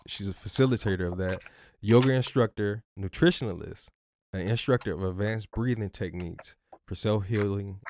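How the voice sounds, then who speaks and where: A man's voice: on the low side, darkish tone, a little hiss or hum. Playful, stumbling male podcast host. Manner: loose, playful, repeats himself and stumbles. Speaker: podcast host and relationship coach. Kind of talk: casual podcast interview.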